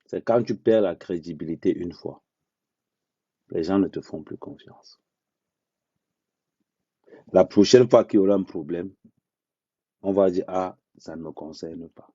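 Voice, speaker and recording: A man speaking bluntly in an unscripted live monologue, repeating phrases for emphasis and talking slowly and deliberately. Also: deep voice, warm tone, slightly rough, dry recording. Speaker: social media commentator. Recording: dull lo-fi dry sound.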